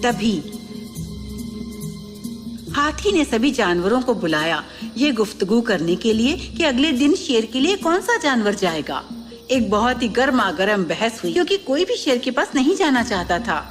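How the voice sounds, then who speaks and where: A woman's voice: fairly high pitch, big pitch swings, a little slow. A woman narrating a scripted children's story as a storyteller. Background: music.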